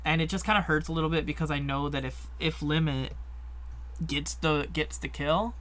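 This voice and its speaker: Man, bright tense voice, somewhat high, chatty, casual gaming podcast talk. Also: normal speed. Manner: chatty, lively, lots of likes and ums. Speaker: co-host.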